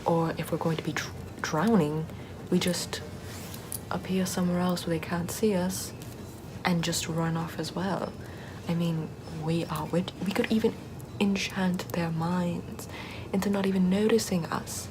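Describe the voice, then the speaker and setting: A woman playing a sardonic Puritan-era forest witch in a soft, rambling, mostly whispered ASMR roleplay. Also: fairly low pitch, fairly even intonation, unhurried, background noise, natural voice. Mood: wry, chatty. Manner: rambling, conversational, repeats words softly.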